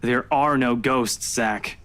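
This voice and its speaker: A stubborn young skeptic from a visual novel played by a woman. The voice is dropped deep, and he argues in a dry, blunt way. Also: takes it slowly, fairly bright tone, full character voice. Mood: skeptical, dismissive.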